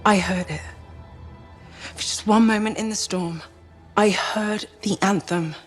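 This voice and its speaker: An actress playing a weary, haunted woman who supports a crew of fighters in a video game drama, speaking in a measured, wistful way. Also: mid-range voice, faint music behind, lightly acted.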